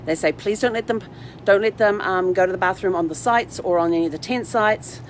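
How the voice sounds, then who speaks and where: A woman's voice: medium pitch, a little fast, slight background noise. A woman talking casually and informally in a chatty, rambling livestream vlog style. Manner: chatty, informal, talks to her dog in asides. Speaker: vlog host. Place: inside a parked RV.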